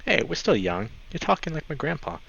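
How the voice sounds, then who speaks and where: A man's voice: fairly high pitch, big pitch swings, a little fast, gravelly, fairly bright tone, breathy edge, pitched above the performer's own voice, exaggerated voice. A man voicing a young male visual novel character, a casual, reassuring friend who is tentative but frank. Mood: concerned. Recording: slightly dull mic, music behind.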